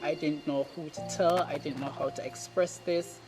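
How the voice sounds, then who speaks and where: A person with a Jamaican accent giving a personal testimony in halting, deliberate short phrases. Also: medium pitch, fairly even intonation. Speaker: documentary subject telling own story. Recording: music playing under the voice. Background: music.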